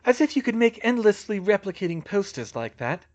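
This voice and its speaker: A man voicing a clever, defiant, highly strung young witch in a fantasy audiobook, excitable and thinking aloud. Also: brisk, muffled recording. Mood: startled, anxious.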